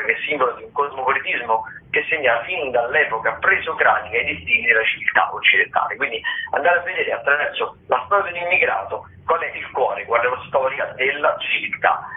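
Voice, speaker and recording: Over a narrow, phone-like connection, a man with a medium-pitched voice hosts a radio theatre segment. He runs through listings and short reviews, halting at times, stretching words and correcting himself as he goes. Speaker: radio show host. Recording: thin, phone-like narrowband sound.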